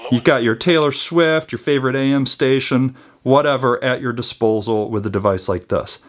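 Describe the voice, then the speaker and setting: A man's voice: mid-range voice, normal speed. A man in his thirties giving a casual product review to camera indoors, chatty and informal, often checking in with the listener. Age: 30s. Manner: informal, conversational, checks in with "right?". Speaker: host of a preparedness gear channel. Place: small indoor room with built-in shelves.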